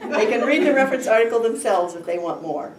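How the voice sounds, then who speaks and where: A woman's voice: a little high, expressive, quick pace. A woman in her sixties presenting, reading a script aloud to a class in a large room. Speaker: workshop presenter. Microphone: camera a few meters away. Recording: echoey room, far from mic, noisy.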